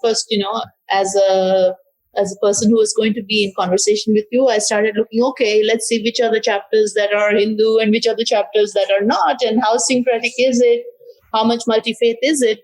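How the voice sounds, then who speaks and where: A woman interviewer with a slightly high voice leads a conversation. She probes with her questions and often checks back with her guest, asking whether she has it right. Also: little pitch movement, a little fast, darkish tone, poor audio.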